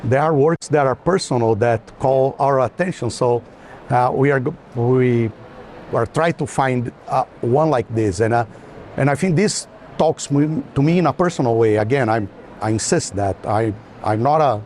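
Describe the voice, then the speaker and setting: A man's voice: medium pitch, moderate pace, darkish tone. A man speaking as an art collector in informal conversation, hesitant and often restarting his phrases. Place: art fair, walking tour. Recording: background noise of a busy hall.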